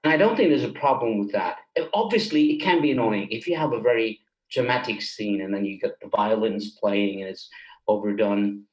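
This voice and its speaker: A man's voice: on the low side, a little fast. Man in echoey room chatting enthusiastically. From Germany. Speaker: guest, film director and composer. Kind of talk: casual interview conversation. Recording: roomy, echoey sound.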